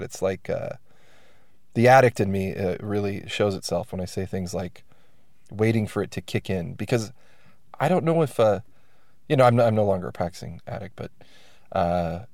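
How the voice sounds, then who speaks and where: A man's voice: fairly low pitch, a little mellow, no echo, clean studio sound. A man hosting his own podcast, sounding stuffed up from allergies, talking in a wry, self-deprecating ramble full of restarts. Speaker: podcast host. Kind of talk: casual rambling monologue.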